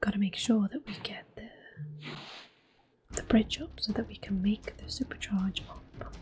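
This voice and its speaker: A woman giving gentle, unhurried ASMR gameplay commentary in a soft, breathy whisper as she plays. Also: medium pitch. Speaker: gameplay streamer. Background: video game music.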